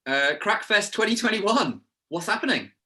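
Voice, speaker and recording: A man's voice: high-pitched, big pitch swings, normal speed, sharp tone. A British man co-hosting a casual live chat, reading out viewers' questions.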